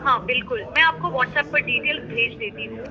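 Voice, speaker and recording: A woman insurance agent on a customer service call, polite and measured, confirming each detail. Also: a little high, creaky, a crowd in the background. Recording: lo-fi phone line.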